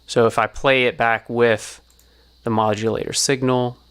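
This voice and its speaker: A man's voice: medium pitch, little pitch movement, measured pace, fairly bright tone, clean tone. A man giving an explanatory tutorial as a sound designer, talking through it step by step.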